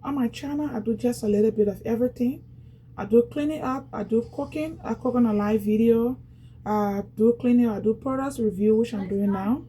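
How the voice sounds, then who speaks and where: Casual Liberian woman vlogger, talkative and repetitive, warm mellow voice pitched a bit high. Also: a little fast, husky. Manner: chatty, repetitive, talks straight to viewers. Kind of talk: casual product unboxing vlog.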